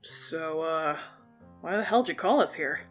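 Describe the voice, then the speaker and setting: A woman playing a hot-headed, gruff teenage girl who speaks bluntly and swears freely, with a soft spot underneath, in an audio drama. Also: low-pitched, animated, unhurried, faint music behind. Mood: brash, startled.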